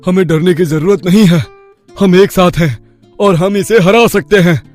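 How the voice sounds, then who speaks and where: A man voicing a brave, determined villager in an animated story, speaking in an earnest, rallying, declamatory way. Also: high voice, moderate pace, soft background music, natural voice. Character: brave, determined male village friends defying a demon.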